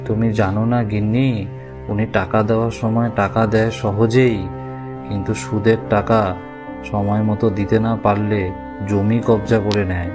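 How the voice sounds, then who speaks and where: A man's voice: fairly low pitch, flat delivery, a little slow, lightly acted. A man playing a poor but hopeful village farmer in a cartoon, speaking in an earnest, mild way. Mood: earnest, worried. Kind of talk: cartoon character dialogue. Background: music.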